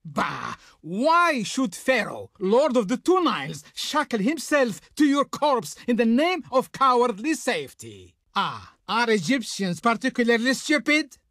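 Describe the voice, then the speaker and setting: Haughty, theatrical Egyptian envoy, swooping pitch. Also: male voice, fairly bright tone, no room sound, clean studio sound, full character voice. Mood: mocking, menacing. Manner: pompous, insulting, imperious. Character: haughty, scornful male Egyptian envoy of the Pharaoh. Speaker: diplomat character in a strategy game. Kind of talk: theatrical scripted game voice lines.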